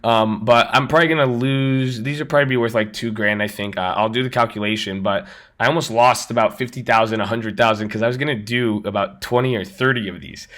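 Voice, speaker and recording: A raspy-voiced man hosts a casual trading walkthrough, recovering from being sick. His tone is bright and forceful despite the rasp. He rambles, restarts and repeats phrases as he explains. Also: mid-range voice, steady tone, fairly quick. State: recovering from illness. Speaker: trading channel host. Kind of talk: casual screen-share explanation.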